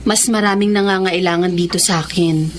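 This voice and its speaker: A woman acting a gentle, devoted schoolteacher in a Filipino radio drama. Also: fairly low pitch, fairly even intonation, moderate pace, a little hiss or hum, close to an everyday voice. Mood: cheerful, fond.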